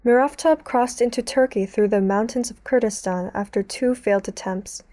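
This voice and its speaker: Woman doing doc narration, mellow warm tone, dry studio sound. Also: medium pitch, dry recording. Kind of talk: scripted documentary narration.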